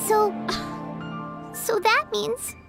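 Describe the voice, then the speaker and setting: A woman voicing a tiny, chirpy floating female companion in a video game, with a high, bubbly voice that calls herself by name. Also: very slow, gravelly, full character voice. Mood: curious. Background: game music.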